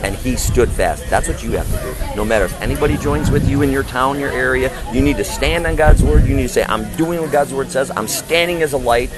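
Loud, emphatic male street preacher outdoors. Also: medium pitch, brisk, hardly any pauses, loud music behind the voice. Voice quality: big, loud voice. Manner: emphatic, declamatory, rhetorical questions. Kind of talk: impassioned street preaching and open-air discussion.

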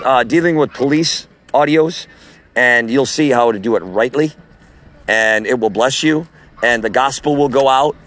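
A man, a street preacher with a loud voice, recording an audio message with a phone while walking outdoors at a farmer's market, speaking in a forceful preaching cadence. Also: mid-range voice.

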